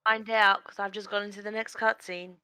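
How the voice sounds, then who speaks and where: Bright-voiced woman chatting while gaming. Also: mid-range voice, little pitch movement, brisk, clear, resonant tone, dry recording. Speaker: game stream co-player. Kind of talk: casual chat while gaming. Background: faint game music.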